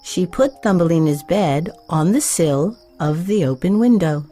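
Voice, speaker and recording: Slow, mellow woman narrating a children's story. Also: on the low side, expressive, faint music behind. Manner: measured, careful phrasing for young listeners.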